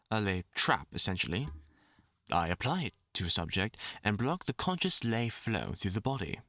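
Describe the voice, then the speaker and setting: A man's voice: fairly low pitch, pushed and bright, no echo, studio quality, full character voice. A man with a British accent playing a courteous magician in an audio drama, explaining things formally and apologetically with some hesitation. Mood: measured, slightly sheepish. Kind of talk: character audio drama monologue.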